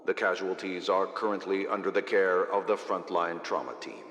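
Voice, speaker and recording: An actor playing a grave, formal news announcer in a game. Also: male voice, low voice, little pitch movement, normal speed, reverberant, in a large hall, background noise, lightly acted. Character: male news broadcast announcer.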